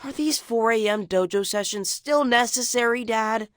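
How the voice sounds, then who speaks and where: A man playing an earnest, nerdy teenage mutant turtle in an animated game, eager and matter-of-fact. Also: extremely high pitch, normal speed, no room sound, studio quality.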